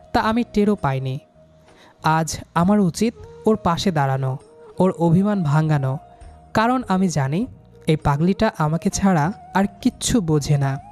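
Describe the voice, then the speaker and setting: A man's voice: high voice, a little mellow. A man narrating a romantic story in the first person, dramatically, with an earnest and emotional delivery. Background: background music.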